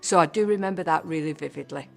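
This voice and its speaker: A woman in her sixties speaks in a low voice with a gravelly edge. She reminisces thoughtfully and at an easy pace. Faint music sits behind her. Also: fast talker. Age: 60s. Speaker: interviewee. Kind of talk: reminiscing about childhood.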